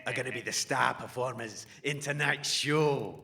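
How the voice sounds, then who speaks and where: An actor playing a swaggering, menacing raider chief who fancies himself a comedian, in a comic audio drama. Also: male voice, medium pitch, moderate pace, creaky, pushed and bright. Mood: furious bullying. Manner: bullying, showboating, flips from jokey to threatening.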